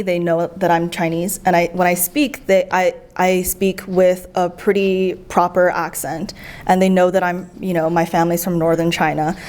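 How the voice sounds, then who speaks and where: A woman from the United States, a guest in a casual conversation, chatty and animated as she tells her experiences. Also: lowish voice. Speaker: guest, traveler.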